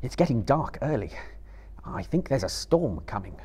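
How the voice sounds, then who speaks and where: A man's voice: medium pitch, big pitch swings, moderate pace, warm tone, husky. A man voicing an earnest, determined young male hobbit who urges his companion on in a lifted voice. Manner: urgent, raised and pleading.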